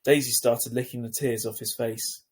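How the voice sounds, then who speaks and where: A man's voice: medium pitch, level tone, normal speed, darkish tone, slightly rough. A man reading a children's novel aloud as scripted narration, like a teacher to a class.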